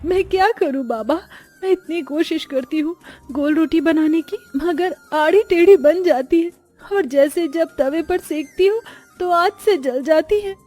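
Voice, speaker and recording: A woman's voice: extremely high pitch, measured pace, dark tone, soft background music, pitched above the performer's own voice. A woman voicing a meek, distressed young daughter-in-law, polite and earnest, in a Hindi cartoon moral story. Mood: plaintive.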